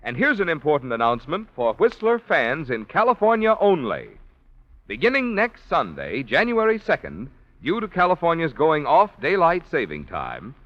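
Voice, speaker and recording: Casting: American man, old-time radio announcer, highish pitch with dramatic swoops, dull vintage broadcast sound. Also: no room sound. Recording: muffled 1940s radio broadcast.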